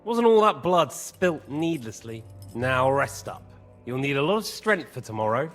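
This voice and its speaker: An actor playing a sadistic, mocking commander who toys with prisoners in a leisurely, falsely courteous way, in a video game cutscene. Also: male voice, medium pitch, sing-song, moderate pace, a bit bright. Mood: smug, mocking. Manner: taunting, leisurely, theatrically courteous. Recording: music behind the voice.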